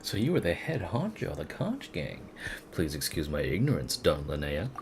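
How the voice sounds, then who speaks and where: A woman streaming a game, giving casual, musing live commentary with halting reactions. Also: rumbling low, huge pitch swings, noisy background.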